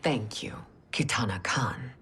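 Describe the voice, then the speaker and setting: An actress playing a proud, cunning, middle-aged queen mother in a video game, with a regal, measured, formal manner. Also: very deep voice, sharp tone, in a whisper, full character voice. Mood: reverent, formal. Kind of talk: video game drama acting.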